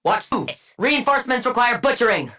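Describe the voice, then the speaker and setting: A woman's voice: fairly high pitch, sing-song, moderate pace, fairly bright tone. An actress playing a cool, sardonic female scientist supervillain in a video game, with precise diction and a clinical, condescending manner. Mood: sardonic, commanding. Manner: clinical, condescending, dryly witty. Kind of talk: video game combat barks.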